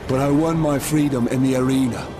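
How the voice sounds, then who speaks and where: An actor playing a bitter, proud undead master of blades in a video game, with a brooding, dramatic delivery. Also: male voice, medium pitch, steady tone, fairly quick, natural voice. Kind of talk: video game cutscene acting. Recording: loud music under the dialogue.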